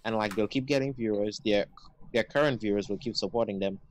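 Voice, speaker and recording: A man's voice: lowish voice, brisk, slight background noise. A young man in a casual podcast chat over a phone call, agreeable and full of fillers. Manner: agreeable, filler-heavy, says "yeah" a lot.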